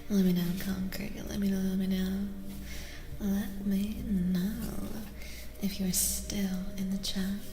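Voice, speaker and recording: A woman streaming ASMR, chatting gently in a soft-spoken voice that often drops into whispers and repeats phrases. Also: medium pitch, little pitch movement, takes it slowly, background noise. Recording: long reverb, roomy echo, music behind.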